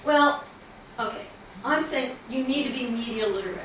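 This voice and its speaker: A woman's voice: fairly high pitch, moderate pace, fairly bright tone. Middle-aged American woman professor, chatty lecture style, mic distant in a very echoey, noisy hall. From United States. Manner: chatty, self-correcting, teasing asides. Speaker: professor giving a guest lecture. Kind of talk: casual lecture to students.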